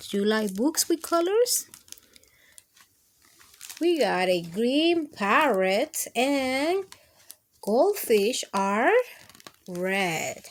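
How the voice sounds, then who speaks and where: Slow, lively high-voiced woman reading to children. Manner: sing-song, expressive, addressing children with questions. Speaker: children's storytime host. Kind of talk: animated read-aloud of picture books for young children.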